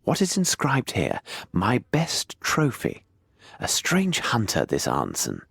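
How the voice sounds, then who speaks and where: An actor playing a shrewd, self-assured Victorian detective with a British accent in an adventure game, speaking precisely and formally as if musing aloud. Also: male voice, medium pitch, wildly swooping pitch, slow, vocal fry, a bit bright, slightly rough, dry recording, heavily acted. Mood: intrigued, musing. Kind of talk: scripted adventure game dialogue.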